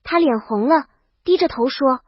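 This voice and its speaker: A woman's voice: sits high, animated, normal speed, dry recording, highs cut off. A woman reading a scripted first-person comic story as its brash, exasperated heroine. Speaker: audio story narrator.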